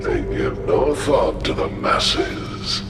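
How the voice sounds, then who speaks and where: An actor playing a cruel, fanatical fire-demon warlord in a video game, making grandiose, menacing proclamations. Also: male voice, low voice, wildly swooping pitch, takes it slowly, vocal fry, full character voice. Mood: grandiose zeal. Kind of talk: theatrical villain speech in a video game. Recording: echoey, distant voice under loud music.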